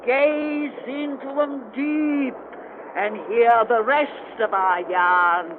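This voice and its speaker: A woman's voice: fairly high pitch, steady tone. A woman playing an ancient, folksy witch who spins spooky yarns in an old-time radio horror show. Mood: relishing, ominous. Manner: folksy, slow, cackling storyteller. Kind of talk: 1930s radio horror hosting. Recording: muffled, noisy old radio recording.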